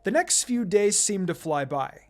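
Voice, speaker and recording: Someone reading a fanfic story out loud, really animated narration, music in the background. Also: medium pitch, measured pace. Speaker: narrator reading fan fiction. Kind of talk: expressive scripted story narration. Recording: music behind the voice.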